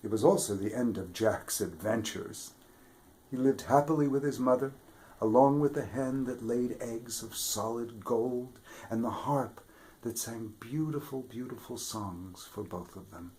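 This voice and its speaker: A man reading a children's fairy tale aloud with expressive delivery, dropping to whispers for suspense. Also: mid-range voice, measured pace. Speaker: storybook reader, theatre regular. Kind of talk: children's story read-aloud.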